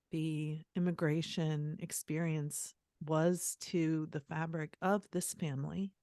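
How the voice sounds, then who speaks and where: Woman as podcast host, low and unhurried, gentle and emotional. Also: no echo, studio quality. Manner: gentle, emotional, tearful at times. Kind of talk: casual interview talk.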